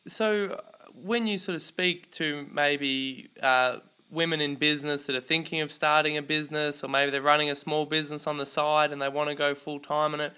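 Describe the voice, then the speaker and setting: Smooth, bright male interviewer. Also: fairly high pitch. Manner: easygoing, long framed questions with "sort of". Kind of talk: conversational interview questions.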